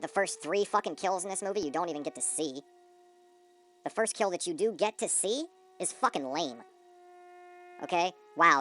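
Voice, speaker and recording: Low-voiced, scornful woman ranting. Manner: blunt, profane, scornful. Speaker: movie reviewer. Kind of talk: ranting film review commentary.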